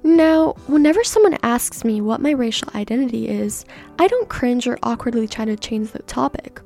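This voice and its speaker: A young woman from Canada, a native Persian speaker, reading a personal essay in an earnest, measured way as a podcast host. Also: fairly high pitch, lively intonation, gravelly, warm tone, soft background music.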